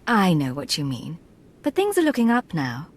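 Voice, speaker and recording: A woman's voice: on the low side, huge pitch swings, normal speed. An actress playing a self-assured female imperial agent in a video game, controlled and wry. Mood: cool, firm.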